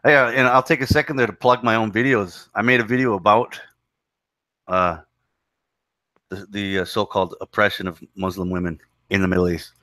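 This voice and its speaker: A man hosting a casual online group chat, blunt and quick with short interjections. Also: lowish voice, steady tone, bright, forceful tone, dry recording. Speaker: host.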